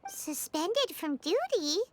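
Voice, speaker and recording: A woman voicing a small, chatty, excitable childlike companion in a video game, squeaky and expressive. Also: slow pace, slight background noise, exaggerated voice. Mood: surprised, excited. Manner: exclaiming, cute, expressive. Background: music.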